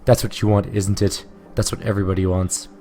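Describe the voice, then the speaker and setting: A tormented male sculptor lashes out in a low, hoarse voice that is scornful and worn down by despair, as a man reads him aloud in a game playthrough. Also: flat delivery, a little fast, warm tone, lightly acted. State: hoarse from illness.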